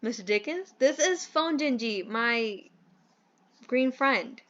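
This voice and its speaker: A woman's voice: fairly high pitch, lively intonation, takes it slowly, fairly bright tone, highs cut off. A woman voicing an eager but sheepish young male filmmaker with groovy slang and a hesitant, rambling manner in a comic audio drama. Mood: nervous.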